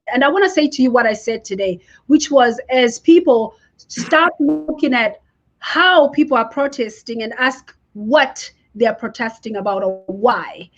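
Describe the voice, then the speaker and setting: A woman's voice: on the high side, dull audio. A woman hosting a live interview, putting questions to her guest. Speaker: interview host.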